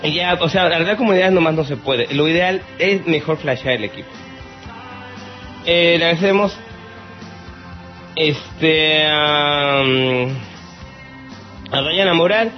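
A man's voice: on the high side, measured pace, long pauses. A Mexican man with a playful, theatrical way of talking, chatting casually as a podcast host answering listener questions. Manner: theatrical, mock-exasperated, playful. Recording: dull lo-fi radio sound, music behind. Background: music.

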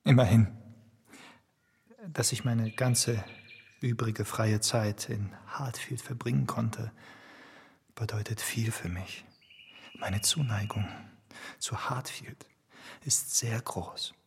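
Gallant young gentleman suitor. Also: fairly low pitch, fairly even intonation, slow pace, gravelly, long pauses, a little hiss or hum. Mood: tender, earnest. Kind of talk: radio drama acting.

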